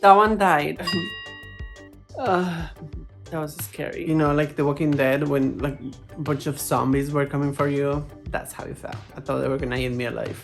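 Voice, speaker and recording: Lower-voiced woman vlogger with background music. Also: fairly even intonation, moderate pace, gravelly. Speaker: plant vlogger, host. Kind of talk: casual chat to camera.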